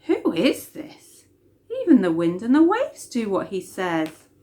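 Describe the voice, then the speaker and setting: A woman's voice: medium pitch, huge pitch swings, measured pace, warm tone. A woman voicing agitated storybook crowd members and disciples, exclaiming in an urgent, pleading way. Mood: awed. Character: jostling, frantic crowd members and frightened disciples.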